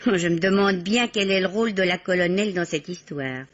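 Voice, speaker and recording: An actress playing a naive, impressionable spinster, a sleuth's admiring sidekick, speaking with dramatic wonder in an old French radio play. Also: female voice, on the low side, a little fast, lightly acted. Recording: dull, lo-fi old radio recording.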